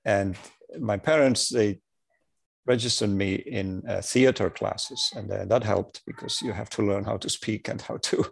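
Gravelly-voiced man in his sixties. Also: fairly low pitch. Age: 60s. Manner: measured, thoughtful, with many pauses and fillers. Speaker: engineer. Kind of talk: reflective conversation.

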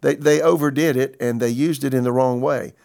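A man's voice: mid-range voice, fairly even intonation, fairly quick, gravelly, a little mellow. A man in his sixties, a pastor, teaching a sermon in an earnest, conversational way and quoting scripture. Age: 60s.

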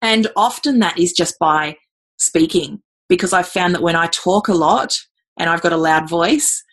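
Low-voiced woman talking in a thoughtful, halting way. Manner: thoughtful, many ums and repeated words. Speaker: guest. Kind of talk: conversational interview answers.

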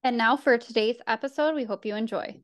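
A woman's voice: on the high side, a little fast. A woman hosting a podcast, reading sponsor announcements in a scripted way. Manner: scripted, ad-read delivery. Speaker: podcast host.

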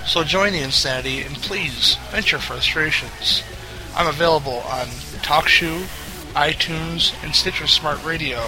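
An upbeat promo read by a man with a slightly high voice. Loud music plays behind him. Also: measured pace, poor audio. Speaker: podcast promo host.

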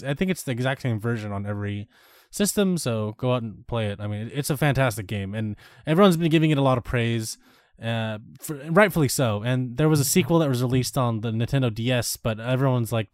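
A man's voice: mid-range voice, no echo, studio quality. A man co-hosting a podcast, chatting in an easygoing way with lots of fillers and upbeat asides. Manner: easygoing, filler-heavy, enthusiastic. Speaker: podcast co-host. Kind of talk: casual chat.

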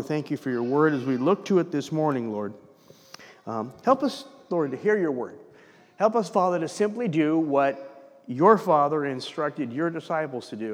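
Animated pastor preaching in a reverberant hall. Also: male voice, a little high. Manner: animated preaching with rhetorical questions. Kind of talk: sermon. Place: church sanctuary.

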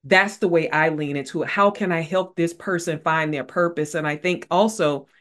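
A woman's voice: deep voice, moderate pace. A woman author speaking as a guest in a casual interview, earnest and repeating phrases for emphasis.

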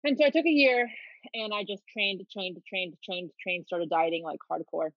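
A woman's voice: mid-range voice, fairly even intonation, moderate pace, dry recording, highs cut off. A woman from the Dominican Republic, raised in Miami, telling her story as a podcast guest in a candid, easygoing way. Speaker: podcast guest, clothing company owner. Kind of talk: casual interview storytelling.

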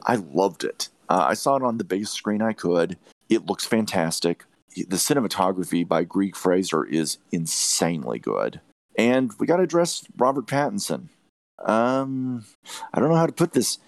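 A man's voice: deep voice, animated, moderate pace, vocal fry, no echo. A man hosting a podcast, giving opinionated movie reviews in an emphatic way, repeating phrases for stress. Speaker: podcast host reviewing films.

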